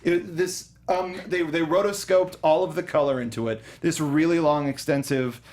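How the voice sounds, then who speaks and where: A man's voice: on the high side, fairly even intonation, moderate pace, darkish tone. Enthusiastic young man with eager restarts. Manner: enthusiastic, stumbling restarts, fan talk. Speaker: podcast co-host. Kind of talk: casual chat.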